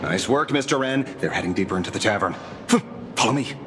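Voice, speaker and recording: A man's voice: fairly low pitch, lively intonation, quick pace, noisy background, close to an everyday voice. An actor voicing a wry, playful young man companion in a video game. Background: game music.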